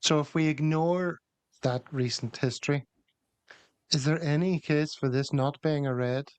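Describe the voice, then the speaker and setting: A man's voice: fairly high pitch, fairly even intonation, unhurried, darkish tone, clear, resonant tone, no room sound. A man hosting a casual football podcast, reading viewer comments and running through match stats. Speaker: podcast host.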